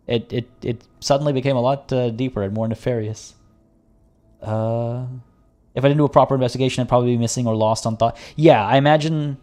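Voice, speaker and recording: A man streaming a video game, chatting casually with viewers in a rambling way, and slightly hoarse, as if losing his voice. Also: medium pitch, darkish tone. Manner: rambling and chatty, frequent restarts. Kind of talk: casual livestream commentary.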